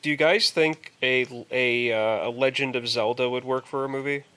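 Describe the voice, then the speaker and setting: A man co-hosting a podcast in casual chat, somewhat halting as he thinks aloud. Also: medium pitch, steady tone, bright, forceful tone, clear, resonant tone. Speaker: podcast co-host. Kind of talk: casual chat about movies and video games.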